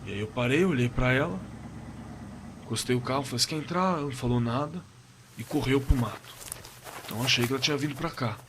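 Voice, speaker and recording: A man with a Brazilian accent playing a shaken young man recounting a frightening night in a halting, colloquial way, in a horror audio drama. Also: medium pitch, noisy background, close to an everyday voice. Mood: uneasy. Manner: halting, repeating words, colloquial. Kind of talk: dramatized first-person horror story, told conversationally.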